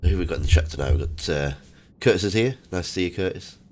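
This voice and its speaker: Male streamer, very deep and croaky with a cold, easygoing and full of pauses. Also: expressive, a little mellow. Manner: laid-back, halting with frequent "uh" fillers. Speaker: gaming streamer host. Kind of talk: casual live-stream chat.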